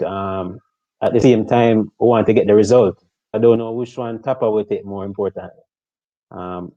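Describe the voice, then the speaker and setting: Slow, measured man, deep and dull. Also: warm tone, dry recording. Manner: slow, measured, hesitant with ums. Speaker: football pundit. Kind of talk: casual live-stream analysis. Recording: dull, dry remote mic.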